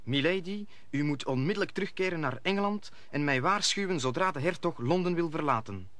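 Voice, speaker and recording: Haughty, high-voiced villainous nobleman. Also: animated, fairly quick, a bit bright, dry recording. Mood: urgent, commanding. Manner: haughty, mocking, curt. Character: scornful, haughty nobleman villain, henchman of a cardinal. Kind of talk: radio drama acting.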